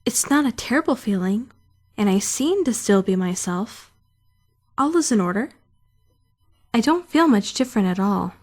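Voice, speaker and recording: A woman's voice: mid-range voice, animated, moderate pace, long pauses, darkish tone, faint music behind, close to an everyday voice. A woman voicing a prim, socially awkward young trainee who narrates a visual novel fan dub in formal, bookish language. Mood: composed, unsure.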